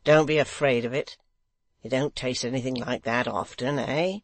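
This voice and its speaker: A woman voicing a crafty old blind man who speaks in a coaxing, insinuating, measured way. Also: a bass voice, fairly even intonation, no room sound.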